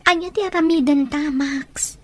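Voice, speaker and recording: An actress with an Ilocano Filipino accent playing a young woman in an audio drama, guarded but gradually softening. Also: sits high, moderate pace, no room sound, natural voice. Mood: soft, hesitant. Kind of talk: Ilocano radio-style drama acting.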